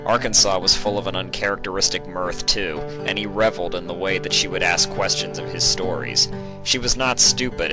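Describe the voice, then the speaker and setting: A man reading a story aloud as scripted narration. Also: low voice. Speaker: narrator reading fan fiction. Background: instrumental guitar music.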